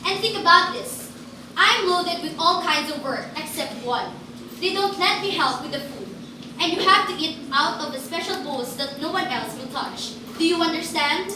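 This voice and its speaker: Far from the mic in a noisy, echoing room, a woman plays an outspoken girl with a very high voice. She argues emphatically and indignantly, as in an amateur staged reading. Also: animated, moderate pace. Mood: exasperated. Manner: emphatic, argumentative. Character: outspoken, indignant girl defending women and babies. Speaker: student performer in a reader's theater. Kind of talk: amateur reader's theater dialogue.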